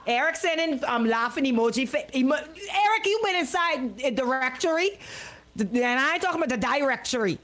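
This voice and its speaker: A woman's voice: high-pitched, animated, a little fast, fairly bright tone. A woman hosting a casual live talk show, questioning people incredulously and repeating her words for emphasis. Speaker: radio show host.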